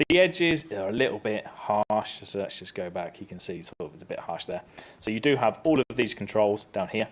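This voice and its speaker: A man presenting a casual, upbeat software tutorial on a conference stage, stepping through each click. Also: fairly low pitch. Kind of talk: live software tutorial talk.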